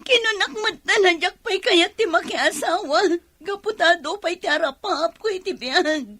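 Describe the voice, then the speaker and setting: A woman's voice: very high voice, moderate pace, pushed and bright. A woman with an Ilocano accent playing an emotional, defiant young daughter pleading with her parents in a radio drama. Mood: tearful, defiant. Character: distressed, defiant young daughter pleading against forced marriage.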